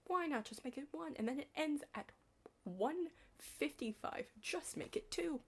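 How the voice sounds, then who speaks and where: A young woman chatting casually in an ASMR study roleplay, rambling and hesitant, hushed and slipping into whispers. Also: high-pitched, animated, a little slow, a little hiss or hum. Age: young adult. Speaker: ASMR creator playing a study partner.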